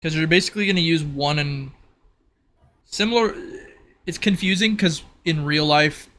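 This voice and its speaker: A man's voice: fairly high pitch, normal speed, bright, forceful tone. A man running a tabletop roleplaying game as game master, casually explaining rules in a conversational way.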